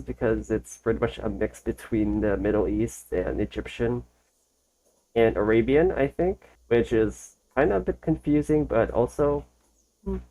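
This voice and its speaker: A man's voice: medium pitch, dark tone, rough recording. Casual, enthusiastic man reacting to videos. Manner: informal, enthusiastic, slangy.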